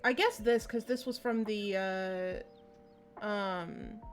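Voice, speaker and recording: Slow, muttering female game streamer. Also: medium pitch. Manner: hesitant, muttering to herself. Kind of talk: casual reactions while playing a game. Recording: game music behind the voice.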